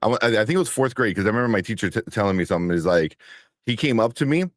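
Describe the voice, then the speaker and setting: A man with a very deep voice co-hosting a casual livestream show, laid-back and chuckling, rambling with fillers. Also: animated, rapid-fire, no echo, clean studio sound. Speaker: co-host.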